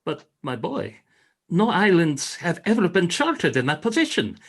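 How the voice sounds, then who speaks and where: A man's voice: high-pitched, wildly swooping pitch, unhurried, creaky, a bit bright, heavily acted. A man playing a courtly Russian frigate commander in a radio play, formal, gracious and grand in manner. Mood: earnest, puzzled. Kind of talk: radio play acting, 1940s style.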